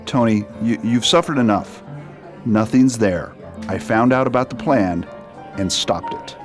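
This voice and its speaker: A man's voice: fairly low pitch, unhurried, faint music behind, lightly acted. A man playing an earnest police doctor in radio drama. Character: male police toxicologist doctor, indignant yet reassuring.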